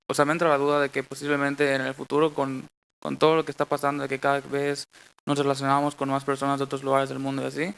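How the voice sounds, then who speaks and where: A young man with a Mexican accent puts a spontaneous question as an audience member. His delivery is extremely monotone and hesitant, with a creaky edge to an otherwise smooth, clear voice, and he keeps rephrasing himself. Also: medium pitch. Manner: hesitant, rambling, self-correcting. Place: university auditorium.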